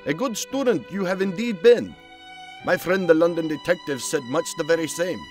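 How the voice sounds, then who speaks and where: A man voicing a genteel older psychoanalyst in a motion comic, courteous and probing, with measured, formal, musing phrasing. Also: a little high, expressive, a little mellow, soft background music, voice pushed up, natural voice. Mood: sympathetic, gentle. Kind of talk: dramatic motion-comic voice acting.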